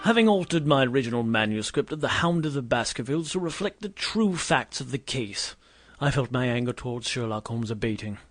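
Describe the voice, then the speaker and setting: A man's voice: mid-range voice, lively intonation, fairly quick, soft background music, lightly acted. A man playing a grumpy, vain Victorian doctor who narrates his misadventures in a pompous, wordy way for an audio drama. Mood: wry, self-important. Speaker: narrator and lead character. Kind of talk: scripted first-person audio drama narration and dialogue.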